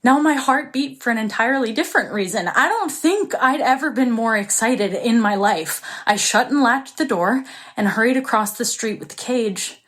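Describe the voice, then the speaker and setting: An actress playing a warm-hearted, excitable young woman who tells her own story in an expressive, confiding dramatic monologue. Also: a little high, normal speed. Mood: tense then elated. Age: young adult. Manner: expressive, confiding, breaks into small laughs. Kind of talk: dramatic first-person monologue.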